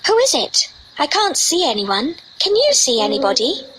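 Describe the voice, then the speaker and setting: A woman's voice: sits high, wildly swooping pitch, normal speed, fairly bright tone, a little hiss or hum, heavily acted, rough recording. A woman playing a polite, earnest young princess in a children's fairy tale, with a girlish manner. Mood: anxious.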